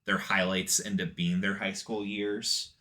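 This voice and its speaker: A man co-hosting a casual anime discussion, agreeable and quick to chime in with short affirmations. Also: low voice, level tone, pushed and bright. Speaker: podcast co-host.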